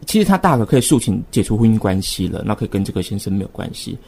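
A man's voice: lowish voice, sing-song, very fast, gravelly, darkish tone, husky. A man with a Taiwanese Mandarin accent hosting a conversational radio discussion, talkative and reasoning aloud. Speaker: radio host.

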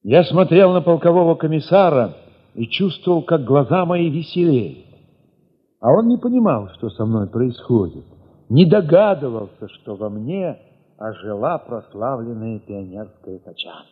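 A man narrating an audio play in the first person, expressive and theatrical, with emphatic pauses. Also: mid-range voice, husky. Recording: muffled, long reverb, music underneath.

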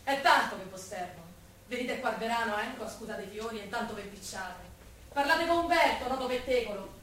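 A woman's voice: on the high side, animated, a little fast, a bit bright. An actress playing a blunt, suspicious working-class woman with a Roman accent, in a theatrical stage style. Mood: sarcastic, accusing. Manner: blunt, direct. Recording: distant echoey stage recording with noise.

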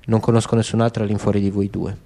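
A man's voice: lowish voice, level tone, quick pace, mellow, pitched below the performer's own voice, close to an everyday voice. A man playing a defiant young soldier in a radio drama, speaking with clipped, peremptory firmness. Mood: defiant, shaky. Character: tall young male soldier, stiff, defiant, sharp. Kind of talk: literary radio drama acting.

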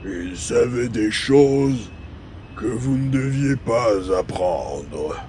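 Male actor as dim-witted sci-fi thug, drugged, extremely slow, groggy, halting, faint ambient hum behind. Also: mid-range voice. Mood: dazed, compliant. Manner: dazed, flat, halting answers. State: groggy from a drug, acted. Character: drugged, dim-witted male henchman under truth serum. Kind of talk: science-fiction audio drama acting.

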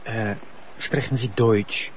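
A man playing a chatty, eager German tourist whose halting Dutch has a German accent and German words mixed in. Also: medium pitch, fairly even intonation, highs cut off, noisy background, natural voice. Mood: friendly, eager. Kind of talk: naturalistic TV crime drama dialogue.

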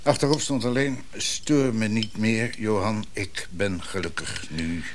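Male actor as lonely older man, lowish voice, unhurried, hesitant, faint noise behind. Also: close to an everyday voice. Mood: wistful. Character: lonely, nostalgic older man, lately separated. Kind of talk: naturalistic radio play dialogue.

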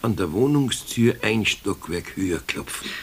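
A man's voice: on the low side, animated, unhurried. An actor playing a kindly, patient older craftsman with a Bavarian accent in a children's radio play. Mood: kindly, careful. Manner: plain, homely, patient. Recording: hissy old radio recording.

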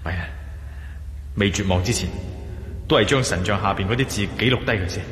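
An actor with a Hong Kong Cantonese accent playing a determined, brooding male adventurer in a radio drama, expressive and given to musing aloud. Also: lowish voice, fairly quick, soft background music, close to an everyday voice. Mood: weary resolve.

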